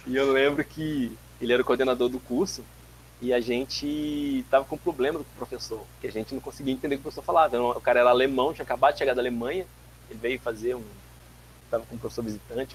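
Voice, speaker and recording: A man in his forties, from Brazil, giving an animated physics lecture over a video call from a home office, telling stories with frequent restarts. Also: medium pitch, a little hiss or hum, poor audio. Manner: animated, gestures a lot, tells anecdotes with many restarts. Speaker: guest lecturer, physics teacher. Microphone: webcam mic on a video call.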